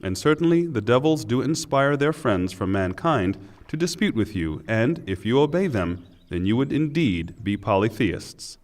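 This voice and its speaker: Man reading scripture translation, solemn and formal, mid pitch, lots of rise and fall. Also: animated, normal speed, few pauses, a little mellow. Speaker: narrator reading Quran translation.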